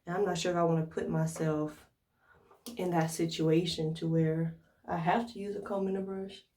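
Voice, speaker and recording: A woman's voice: on the low side, level tone, moderate pace, a little mellow. An American woman chatting casually to viewers in an informal tutorial, thinking aloud as she demonstrates. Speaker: hair care vlogger. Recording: roomy echo, distant from mic.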